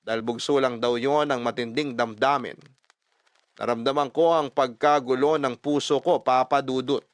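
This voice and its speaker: A man narrating a first-person love story dramatically, expressive and emphatic, mixing Tagalog and English. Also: mid-range voice, moderate pace, no echo. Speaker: storyteller reading a listener's letter.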